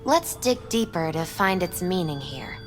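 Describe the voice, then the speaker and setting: A young female fortune-teller in a game, with a slightly low voice. She is earnest and mystical, choosing her words in a measured, solemn way. Light music runs beneath her. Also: animated. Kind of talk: naturalistic video game acting.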